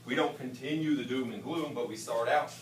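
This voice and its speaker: A male English teacher leading a class discussion in a brisk, questioning way. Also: medium pitch, a little hiss or hum. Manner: brisk, questioning, plays off students. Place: school classroom. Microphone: camera across the room. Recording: roomy classroom from far off.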